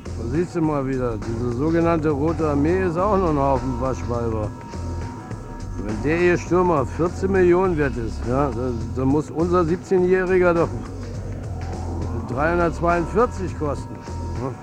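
Higher-voiced blunt football coach played by a man, music behind. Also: normal speed, close to an everyday voice. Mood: boastful. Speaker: character in dialogue. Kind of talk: naturalistic radio drama dialogue.

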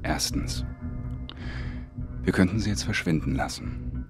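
An actor playing a controlled, menacing secret agent who speaks slowly and deliberately, with music playing under the scene. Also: male voice, low-pitched, lively intonation, creaky, heavily acted. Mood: cold threat. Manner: slow, deliberate, threatening. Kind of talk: radio drama acting.

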